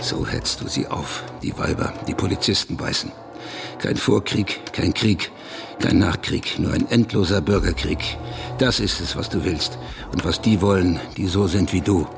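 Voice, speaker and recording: A man's voice: deep voice, normal speed, gravelly, background noise. An actor playing a bitter, foreboding reactionary man in a radio drama, speaking in accusatory rhetorical questions. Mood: accusing, low. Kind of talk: dramatic radio play monologue. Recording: studio radio play with loud music.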